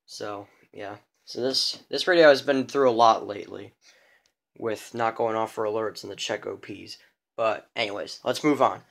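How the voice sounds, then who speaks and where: A man's voice: lowish voice, fairly even intonation, moderate pace, vocal fry. Casual, rambling man showing his collection. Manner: rambling, repeats himself. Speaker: hobbyist collection presenter. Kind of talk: casual unscripted show-and-tell.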